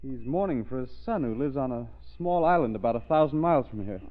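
An actor playing a polite, genial young British engineer with clipped chummy speech in an old radio drama. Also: male voice, mid-range voice, lively intonation, fast, lightly acted. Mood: chatty. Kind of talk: 1940s radio acting. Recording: narrow, tinny old radio recording.

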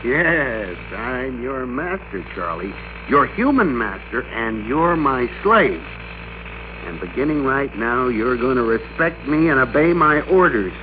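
An actor playing a meek middle-aged American office worker turned blustering, bossy master, in emphatic classic radio drama style. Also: male voice, medium pitch, big pitch swings, slow pace. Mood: gloating, threatening. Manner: emphatic, theatrical, commanding. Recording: muffled classic radio recording with hum.

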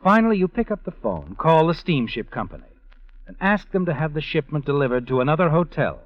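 A man's voice: on the high side, very animated, brisk. A male actor playing a knowing, insinuating narrator who addresses the heroine in dramatic, rhetorical old radio style. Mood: knowing, suspenseful. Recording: muffled vintage radio with music.

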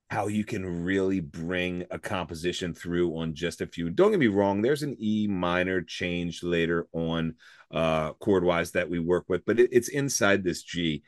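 A low-pitched man in his forties, his voice a little raspy, talks with rambling enthusiasm as a podcast co-host. He circles back on himself with fillers and restarts. The sound is close and dry. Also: a little mellow, no room sound. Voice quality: slightly raspy from singing. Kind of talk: casual music discussion.

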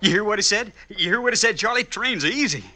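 An actor playing an eager, nervous young outlaw brother in a radio western, with a husky American voice and folksy, insistent talk. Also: male voice, high voice, lively intonation, quick pace, sharp tone, heavily acted. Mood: eager, restless. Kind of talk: old-time radio western acting.

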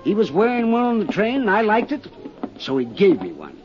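An actor in a 1950s radio drama playing an excitable, giggly man who talks eagerly and insistently. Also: high voice, brisk, over music. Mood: giddy, eager. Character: excitable giggling man showing off a novelty necktie. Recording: dull, noisy 1950s radio recording.

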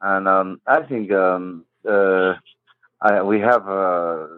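A man's voice: deep voice, takes it slowly, smooth, no echo. A Palestinian man with an Arabic accent, a native Arabic speaker, talking hesitantly in simple English as an interview guest and searching for words. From east of Jerusalem, Judean desert. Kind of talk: halting personal account in conversation. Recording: muffled narrow-band phone-like line.

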